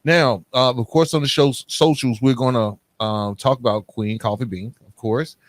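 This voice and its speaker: An American man with a medium-pitched voice speaks as a podcast host. His delivery is informal and conversational, and the sound is dry, with hardly any room around it. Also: steady tone, normal speed, no room sound. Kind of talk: casual podcast interviewing and sign-off.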